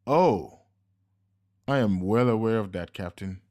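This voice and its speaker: A suave henchman with polished manners drawls each word slowly, played by a man. His courtesy is calm and smug, with a low voice and menace underneath. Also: lightly acted. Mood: smooth. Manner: slow, measured, courteous and smug. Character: suave, menacing male henchman, coolly polite.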